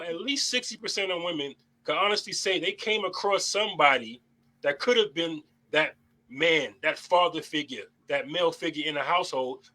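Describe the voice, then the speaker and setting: A man's voice: sits high, sharp tone, poor audio. A young adult man hosting a casual panel debate, talking bluntly and preachily, with plenty of swearing. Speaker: host.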